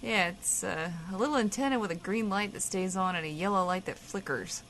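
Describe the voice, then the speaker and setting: An actress playing a blunt, teasing, bossy guest co-host who swears freely in a comedic audio drama. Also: female voice, mid-range voice, fairly quick, a bit bright, a little hiss or hum, close to an everyday voice.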